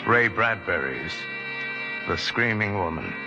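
Gravelly low male radio drama announcer. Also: very animated, takes it slowly. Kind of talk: dramatic scripted radio introduction. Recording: dull band-limited old radio broadcast. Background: music.

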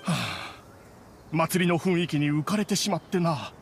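High-voiced, formal, sheepish immortal. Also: male voice, lively intonation, unhurried, vocal fry, a little hiss or hum. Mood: sheepish, confessing. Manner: stiffly polite, formal, explanatory. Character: ancient immortal adeptus man, formal and sheepish. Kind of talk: dramatic game voice acting.

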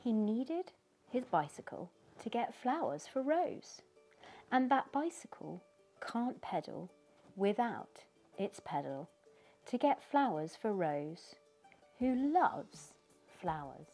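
A woman's voice: medium pitch, very animated, dark tone, faint music behind. A middle-aged British woman reading a picture book aloud to children in a living room, asking them questions as she goes. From United Kingdom. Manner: slow, sing-song storytelling with questions to young listeners.

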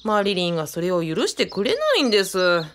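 A woman's voice: on the low side, expressive, a little fast, close to an everyday voice. A woman playing a fussy, talkative male guru in a radio drama, polite and theatrical with a self-important manner. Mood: admiring. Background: birdsong.

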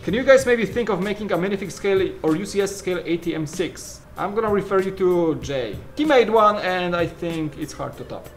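A man's voice: high voice, lively intonation, brisk, few pauses, soft background music. Polish-accented man, joking casual host. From Poland. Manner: joking, trails off mid-sentence. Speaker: channel co-host answering viewer questions. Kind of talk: casual Q&A chat. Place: large studio room full of shelves.

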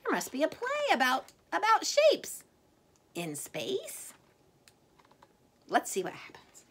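A middle-aged woman reads a picture book to children. Her voice is high and bright, with very lively swings of pitch. She chats in little asides in an animated, sing-song way. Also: slow. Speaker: teacher reading picture books to children. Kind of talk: children's story read-aloud with asides. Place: small indoor room set up as a classroom.

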